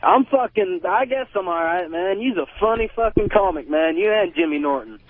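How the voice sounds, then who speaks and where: Brief: male phone-in caller, dry thin telephone sound, slightly high voice, slangy and constantly swearing. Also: expressive, normal speed. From Nevada. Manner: heavily profane. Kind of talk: casual slangy chat. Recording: tinny phone line.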